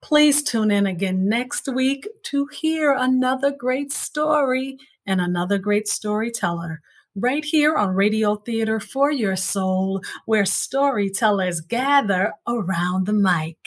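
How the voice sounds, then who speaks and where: A woman hosting a storytelling podcast and addressing guests and listeners. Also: mid-range voice. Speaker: podcast host.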